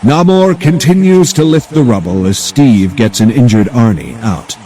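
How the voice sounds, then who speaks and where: Male narrator with animated, dramatic delivery. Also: medium pitch, moderate pace.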